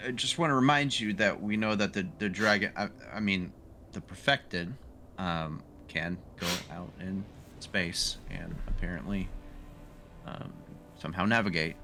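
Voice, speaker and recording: A man's voice: low voice, measured pace, sharp tone, soft background music. A man talking casually as a player in a tabletop roleplaying game.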